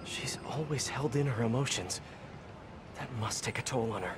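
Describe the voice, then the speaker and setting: A man's voice: medium pitch, moderate pace, noisy background, natural voice. An actor playing an earnest young male superhero who often talks to himself with concern in a video game cutscene. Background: game score music.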